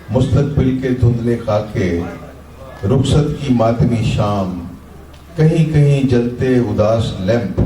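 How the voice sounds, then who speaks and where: Male poet at a live reading: dark, soft-edged tone, deliberate phrasing, captured at a distance from the mic. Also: medium pitch. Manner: measured, deliberate phrasing with repeated lines and pauses. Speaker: poet reciting own poems. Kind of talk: live Urdu poetry recitation, free verse with repeated lines. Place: literary festival session, recorded live. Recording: roomy, distant-miked live event recording.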